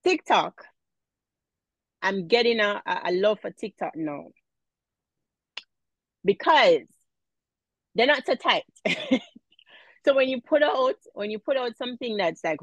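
A woman with a Jamaican accent, chatting as a guest in a casual interview, animated and laughing often. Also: mid-range voice, dry recording, dull audio. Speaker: guest, mom influencer.

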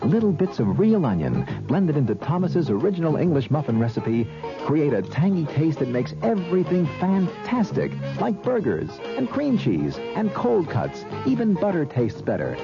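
A man's voice: on the high side, huge pitch swings, moderate pace, over music. A man announcing 1970s radio commercials in an emphatic, salesmanlike scripted style. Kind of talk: 1970s radio advertisement read. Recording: dull 1970s radio broadcast recording.

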